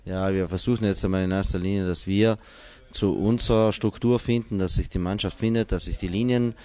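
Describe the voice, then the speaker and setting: A middle-aged man, a head coach, answering interview questions indoors into a handheld mic, measured and hesitant with frequent fillers. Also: low-pitched, fairly even intonation, warm tone. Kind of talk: post-training interview. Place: indoor press wall, small room. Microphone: handheld mic held by interviewer.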